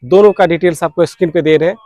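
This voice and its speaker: A man's voice: fairly high pitch, very fast, background noise. A man hosting a hobby vlog, explaining details informally and mixing English technical terms into his talk. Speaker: radio hobbyist vlogger, host.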